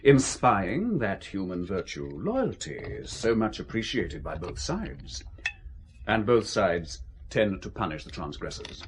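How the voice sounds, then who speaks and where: An actor playing an urbane spymaster in a radio drama, courteous and philosophising, genial with an undertone of menace. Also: male voice, on the low side, sing-song, a little slow, a little hiss or hum. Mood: smoothly menacing.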